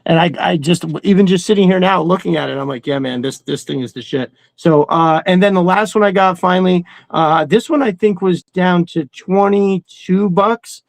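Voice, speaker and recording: A man's voice: high voice, normal speed, vocal fry, few pauses, no room sound. A man hosting a casual livestream, rambling in a slangy, enthusiastic way. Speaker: host of a toy collecting livestream. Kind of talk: casual chat.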